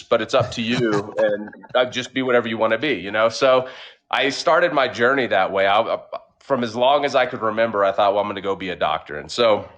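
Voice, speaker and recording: Man, Oklahoma drawl, relaxed storyteller, mid-pitched voice, casual interview guest. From Oklahoma, USA. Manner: relaxed, drawls some words, frequent fillers. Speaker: podcast guest, entrepreneur.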